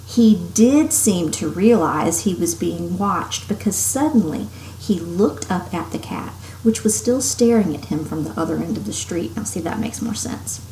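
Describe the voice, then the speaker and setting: A woman's voice: lowish voice, animated, measured pace, few pauses, dark tone. A woman teacher reading a story aloud to children expressively and emphatically, with casual asides. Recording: roomy echo, faint background noise.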